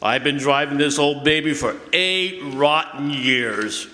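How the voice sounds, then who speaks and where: An actor playing a gruff, cynical veteran ambulance driver in a radio drama, brash, slangy and impatient. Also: male voice, fairly high pitch, unhurried, pushed and bright. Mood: brash, mocking. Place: live stage performance in a hall. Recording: roomy echoey live hall, noisy, clicks.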